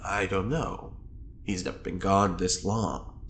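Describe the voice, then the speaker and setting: Male prisoner for audio drama: arch, unbothered schemer, playful, animated low voice, faint background ambience. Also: slow, gravelly, slight background noise, exaggerated voice. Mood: teasing. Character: wry, teasing prisoner schemer, theatrically unbothered.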